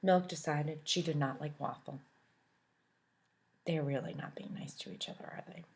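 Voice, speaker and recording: A woman teacher reading a picture book aloud to children, expressive and animated, asking her listeners questions along the way. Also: low voice, normal speed, creaky, whispered.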